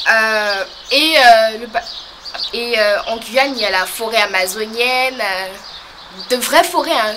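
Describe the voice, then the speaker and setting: A woman's voice: fairly high pitch, noisy background. Hesitant young woman from French Guiana. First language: a local creole or regional language. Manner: hesitant, many pauses and fillers. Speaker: vlogger. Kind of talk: casual talk to camera.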